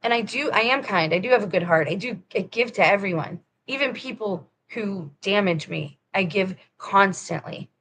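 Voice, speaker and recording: A woman's voice: medium pitch, lively intonation, normal speed, few pauses, a bit bright, low-quality recording. A woman talking straight to her viewers in a casual, rambling monologue, firm and preachy in tone. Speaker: vlogger.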